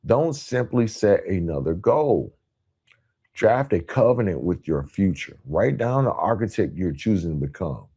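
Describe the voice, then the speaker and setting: Low, bright-toned motivational man. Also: slightly rough. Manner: emphatic, earnest, builds repeated phrases in parallel. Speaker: podcast host. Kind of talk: motivational monologue.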